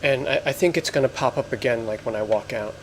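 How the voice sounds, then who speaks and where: A man hosting a let's play, explaining things in casual, halting gameplay commentary. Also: mid-range voice, slight background noise, poor audio. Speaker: gamer, let's play host.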